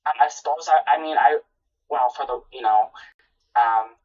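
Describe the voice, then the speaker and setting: A woman's voice: extremely low pitch, normal speed, a little mellow, recorded from a distance, muffled recording, soft background music. A woman from Minnesota with a Minnesotan accent chatting casually, repeating and stammering as she talks. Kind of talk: casual chat.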